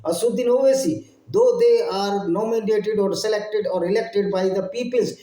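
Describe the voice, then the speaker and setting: A man from India with an Indian English accent and Hindi as his first language, addressing his channel's viewers in an impassioned solo monologue. He speaks halting English, often repeating and rephrasing his phrases. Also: sits high, warm tone, roomy sound, low-quality recording. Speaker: channel host.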